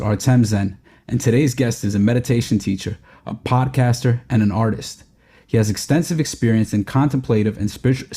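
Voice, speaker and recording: A man's voice: medium pitch, warm tone. A man hosting a podcast, reading a polished introduction and then asking his guest questions in a friendly way. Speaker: podcast host. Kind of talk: scripted intro then casual interviewing.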